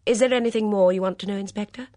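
A woman voicing a composed, brisk older lady of the house in an audiobook, firm and matter-of-fact. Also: mid-range voice, mellow, no room sound, clean studio sound, pitched above the performer's own voice, natural voice. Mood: soothing, firm. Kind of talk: audiobook character dialogue.

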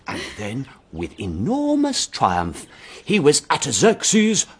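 A donnish English vicar, indulgent and fond. His breathy voice rises and falls dramatically like a practised storyteller's, in radio drama style. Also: mid-range voice, wildly swooping pitch, takes it slowly, exaggerated voice. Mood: relishing storytelling. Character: fond, scholarly vicar husband, theatrical storyteller.

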